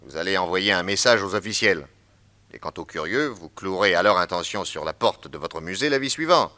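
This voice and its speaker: An actor playing a calm, shrewd male detective in a radio drama, terse and assured. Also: sits low, a little fast.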